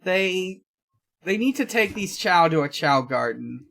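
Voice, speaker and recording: A podcast host recapping a comic in casual, low-key talk with halting delivery. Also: expressive, vocal fry, a bit bright.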